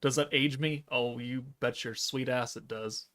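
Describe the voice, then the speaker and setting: A man in his thirties streaming a game from home, chatting casually and reading chat aloud in a monotone, deadpan way. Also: medium pitch, normal speed. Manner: monotone, laid-back, deadpan. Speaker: gaming streamer.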